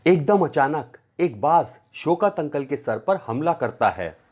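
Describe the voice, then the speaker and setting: Man, children's storyteller, slow delivery, lively swooping pitch, slightly husky, faint music behind. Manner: acts out the characters' dialogue. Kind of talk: Hindi children's story narration.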